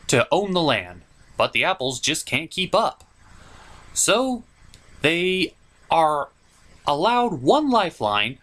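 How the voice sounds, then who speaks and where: A man hosting a scripted cartoon review, speaking in a sarcastic, emphatic way with drawn-out stresses. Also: on the high side, a bit bright. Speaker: reviewer, show host.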